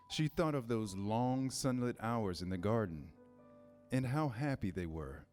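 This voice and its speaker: A man's voice: on the low side, a little mellow. A man reading scripted storybook narration in a staged reader's theater style.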